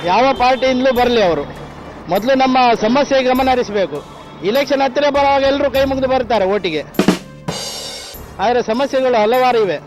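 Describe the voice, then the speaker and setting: A man with a very high voice and a Mangalore accent speaks in a street interview. He is emphatic and complaining, with faint music behind. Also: normal speed, long pauses, crowd noise, low-quality recording. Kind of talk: street vox pop.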